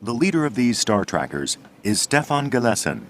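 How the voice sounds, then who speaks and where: A man delivering scripted documentary narration in a measured, deliberate manner. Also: mid-range voice, big pitch swings. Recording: music under the voice. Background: background music.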